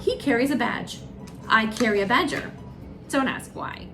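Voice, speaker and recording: A woman's voice: on the high side, lively intonation, normal speed, fairly bright tone. A woman storyteller narrating a children's storybook aloud. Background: music.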